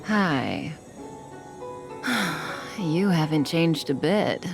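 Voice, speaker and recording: An enigmatic female outlaw in a video game. Her voice is very low for a woman and slow, composed and knowingly teasing, over clear background music. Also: sing-song, full character voice. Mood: coolly teasing. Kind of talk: scripted video game dialogue.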